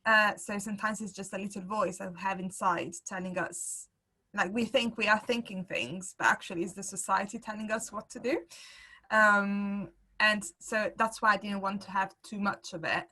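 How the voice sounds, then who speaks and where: Very bright-voiced woman explaining casually. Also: medium pitch, steady tone, normal speed. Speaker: playwright. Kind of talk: casual explaining at an online discussion.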